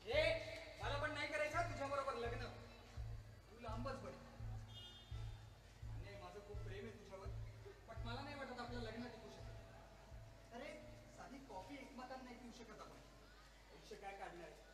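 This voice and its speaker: A young male actor playing a frustrated lover in a stage play, speaking emphatically and haltingly and repeating himself. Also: very high-pitched. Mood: exasperated, angry, then resigned, pleading. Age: young adult. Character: frustrated young man quarrelling with the woman he loves. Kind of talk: one-act stage play dialogue. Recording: echoey distant stage recording, loud music.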